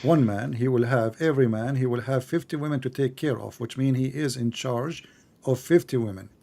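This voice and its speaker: A man's voice: mid-range voice, fairly quick, vocal fry, warm tone. A man whose first language is Arabic, hosting a call-in show and pressing callers with repeated, insistent questions in non-native English. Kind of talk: heated call-in debate.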